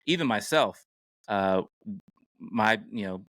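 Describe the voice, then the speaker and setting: A man's voice: on the low side, lively intonation, slow, fairly bright tone, no room sound. A middle-aged American man chatting casually as a podcast host. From United States.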